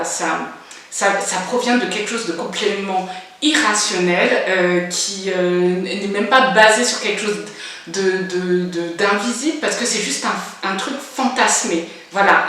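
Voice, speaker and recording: A woman's voice: medium pitch, a bit bright, heavy reverb, distant mic. A woman in her forties talking frankly to camera in her first vlog, hesitant with lots of filler sounds but blunt. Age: 40s. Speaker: vlogger. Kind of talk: casual personal monologue.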